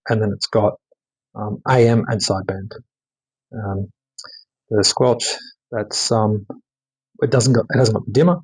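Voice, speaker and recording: Guy w a lowish husky voice doing a casual hobby demo, kinda halting, trails off mid thought. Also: low-quality recording. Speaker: radio hobbyist showing his modified radio. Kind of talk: casual hands-on demonstration.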